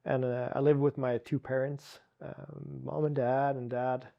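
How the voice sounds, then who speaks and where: A man from Sweden with a Swedish accent, a guest telling his story in a relaxed chat, with frequent restarts and fillers. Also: medium pitch, unhurried, gravelly, highs cut off. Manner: talks with many restarts and "you know" fillers.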